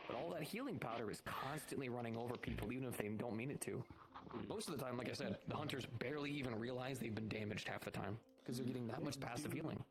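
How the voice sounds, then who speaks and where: A man's voice: medium pitch, fast, background noise. A man in improvised roleplay chat, explaining creature lore in detail and repeating points for emphasis. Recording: loud music behind, online voice chat. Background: music.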